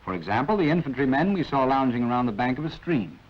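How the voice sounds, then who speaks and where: A man's voice: mid-range voice, big pitch swings, fast. A man with an American accent narrating a 1940s military training film, instructing in a clipped way with wry asides. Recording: dull, band-limited vintage film soundtrack.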